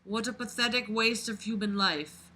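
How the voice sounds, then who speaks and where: An expressive, exclamatory reader doing a dramatic read-aloud of a novel, breaking off into mocking commentary and swearing. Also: mid-range voice, normal speed, low-quality recording.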